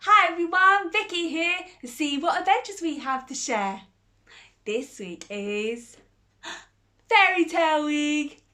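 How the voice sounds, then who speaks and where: Playful, animated woman reading a story. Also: sits high, slow pace. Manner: playful, animated, laughs often. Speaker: storyteller host. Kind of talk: bedtime story reading for children.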